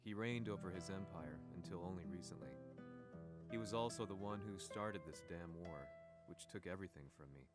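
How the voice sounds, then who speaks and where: Male actor as brooding journalist, very low pitch, grim, measured game narration, loud music underneath. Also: natural voice. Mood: bitter, grim.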